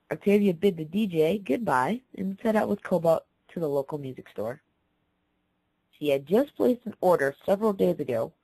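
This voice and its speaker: A woman's voice: low voice, a little mellow, breathy edge, dry recording, low-quality recording. A woman reading scripted story narration aloud as the narrator.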